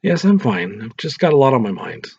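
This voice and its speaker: A man's voice: mid-range voice, big pitch swings, brisk, creaky, darkish tone, breathy edge, dull audio, full character voice. A man voicing a wounded, defiant young woman who becomes a distracted, loving mother in a story read aloud. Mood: distracted, tender.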